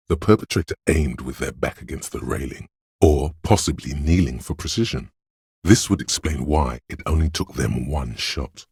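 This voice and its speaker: A man playing a detective's analytical inner voice in a video game, speaking with grand, deliberate precision and a deep, gravelly, husky tone. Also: darkish tone, no echo, studio quality, exaggerated voice. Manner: deliberate, precise, portentous, theatrical. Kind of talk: dramatic video game voice acting, measured narration.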